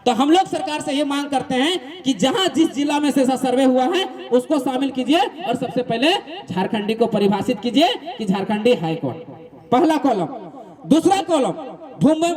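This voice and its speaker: A man's voice: very high-pitched, animated, moderate pace, darkish tone. A man with a Jharkhandi accent giving an emphatic political explainer speech, repeating points and posing rhetorical questions. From Jharkhand, eastern India. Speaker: activist speaker.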